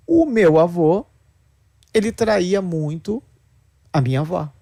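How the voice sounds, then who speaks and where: A man's voice: fairly high pitch, vocal fry, warm tone. A man giving blunt, lecturing opinions, mocking the views he argues against. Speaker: podcast commentator. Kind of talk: opinionated podcast talk.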